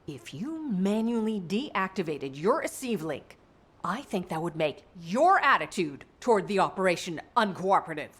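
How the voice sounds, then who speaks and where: A protective woman scientist-soldier from a video game. She speaks formally and with measured care, yet her pitch moves widely, and she can be stern. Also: very animated, fairly bright tone, slightly rough.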